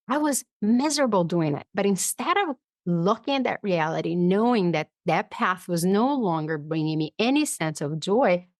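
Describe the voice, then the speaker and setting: A woman guest in a conversational interview, explaining ideas through personal examples and acting out her inner dialogue in an animated way. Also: on the low side, no echo, clean studio sound. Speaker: podcast guest, psychologist and author.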